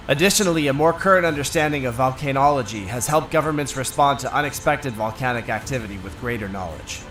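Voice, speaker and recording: Man reading documentary narration. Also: medium pitch. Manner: steady informational reading. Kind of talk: scripted narration.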